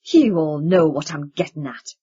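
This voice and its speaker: Angry young man played by a woman narrator, loud and cutting, in a dull recording. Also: sits low, lively intonation, moderate pace, slightly rough. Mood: furious. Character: bitter, furious young man accusing his family. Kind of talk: audiobook character reading.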